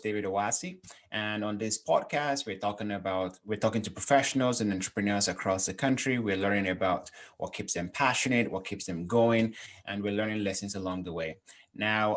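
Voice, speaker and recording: A man with a fairly low voice hosts his own podcast. He sounds upbeat and eager, speaking in a friendly way and often pausing on little fillers as he sets up his questions for a guest. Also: a bit bright. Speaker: podcast host. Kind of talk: casual interview hosting.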